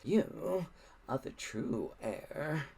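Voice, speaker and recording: A man playing a slow, sly treacherous villain. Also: a little high, very animated, vocal fry, a little mellow, breathy edge, heavily acted. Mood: quiet menace. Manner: slow, drawn-out, insinuating. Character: sly, menacing adult villain, smooth and treacherous.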